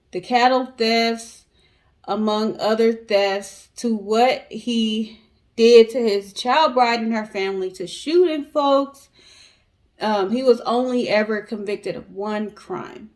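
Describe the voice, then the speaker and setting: A woman's voice: on the high side, slow pace. An American woman co-hosting a storytelling show, talking casually and colloquially as she recounts true crime and speaks directly to viewers. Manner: conversational, colloquial, addresses audience directly.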